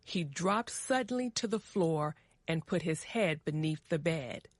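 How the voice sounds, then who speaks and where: A woman narrating a short story for English learners with deliberate, careful phrasing. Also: deep voice, lively intonation, dry recording.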